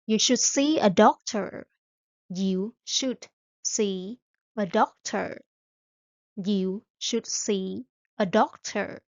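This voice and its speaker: A woman drills short phrases at a very slow, deliberate pace, saying each one several times. Her voice sits in a middle range and sounds close and dry, with no room around it. The audio is slightly dull. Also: mid-range voice, a little mellow, dry recording. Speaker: English teacher.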